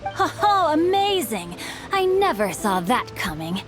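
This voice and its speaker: A woman's voice: high-pitched, very animated, unhurried. A woman voicing a bookish, chivalrous teenage boy swordsman in a video game, speaking in a formal, eloquent, earnest way. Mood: enthralled. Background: game music.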